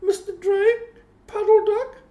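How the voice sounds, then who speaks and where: A man voicing a small, eager girl kitten from a children's storybook, in a falsetto that calls out playfully. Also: steady tone, takes it slowly, warm tone, reverberant, muffled recording. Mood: calling for help. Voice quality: very high falsetto. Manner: eager calling out.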